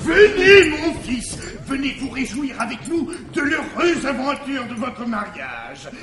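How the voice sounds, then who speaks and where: A man's voice: very high voice, big pitch swings, measured pace, crowd noise, heavily acted. An actor playing an exasperated, irascible old father in a classical French stage comedy, declaiming emphatically. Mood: eager. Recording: roomy 1960s theatre stage recording.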